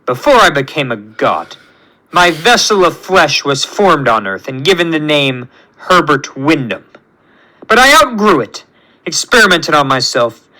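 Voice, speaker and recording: A man's voice: a little high, sharp tone, heavily acted, low-quality recording. A man voicing an arrogant, cruel villain who believes himself a perfect god, speaking in a pompous, theatrical, sneering way. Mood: grandiose, menacing. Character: arrogant megalomaniac male self-made god villain, cruel and contemptuous.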